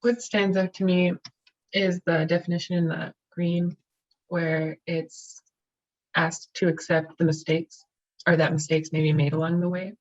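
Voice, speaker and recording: A woman with a very low, flat voice answering in a group session. The audio is dull and muffled, and she speaks in a measured way with casual fillers. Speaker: training participant.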